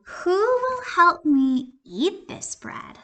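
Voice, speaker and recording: A woman voicing an industrious little red hen from a storybook, firm and indignant, with emphatic, drawn-out words. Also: very high voice, very animated, pushed and bright, pitched above the performer's own voice, exaggerated voice. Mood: indignant, proud.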